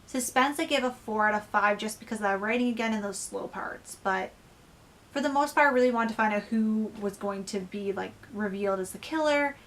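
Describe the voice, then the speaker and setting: A woman's voice: mid-range voice, a little fast, fairly bright tone. A young woman reviewing a book in a casual vlog, talking chattily with many fillers while she is losing her voice. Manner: chatty, lots of "like" and "um".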